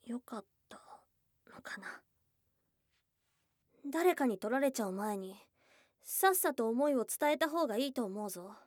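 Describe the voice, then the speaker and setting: Woman as a breathy, slow-talking goblin girl. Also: on the high side, expressive, no room sound, studio quality. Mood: hesitant, probing. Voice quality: breathy, soft, often whispering. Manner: blunt tomboyish speech, tender and hesitant. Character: shy, tomboyish young goblin girl confessing love. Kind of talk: intimate ASMR situation voice drama. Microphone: close, dry studio microphone.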